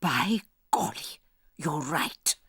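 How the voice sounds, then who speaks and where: A woman's voice: low voice, expressive, drawn-out words, bright, forceful tone, breathy edge, no room sound, clean studio sound, exaggerated voice. An actress playing a doting, scheming old Norwegian grandmother in an audio drama, speaking with dramatic, conspiratorial relish. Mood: hushed excitement. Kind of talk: audiobook full-cast drama acting.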